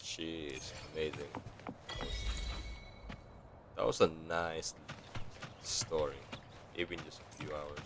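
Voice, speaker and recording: Deep-voiced, unhurried man streaming games. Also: flat delivery. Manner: slangy, laid-back. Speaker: game streamer. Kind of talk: casual live gameplay reaction. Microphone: streaming mic close by. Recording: faint background noise and clicking.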